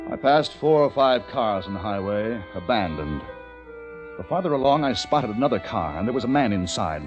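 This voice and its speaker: A man's voice: on the low side, big pitch swings, moderate pace. A man acting as a desperate, weary plague survivor, narrating an old-time radio drama in an American accent with theatrical, clipped phrasing. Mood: urgent. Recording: vintage radio recording, dull sound, orchestral score. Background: dramatic orchestral music with brass.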